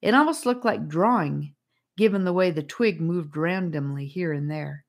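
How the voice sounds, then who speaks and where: Female audiobook narrator, low register, scripted storytelling for a children's fantasy. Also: normal speed.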